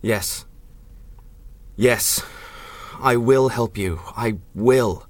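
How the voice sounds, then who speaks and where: Haunted male researcher, slow and halting, mid-pitched, defeated, close dry sound, old radio melodrama. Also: no room sound. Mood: shaken, hesitant. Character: broken, haunted male research scientist, anguished and ashamed. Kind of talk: 1930s-style radio drama acting.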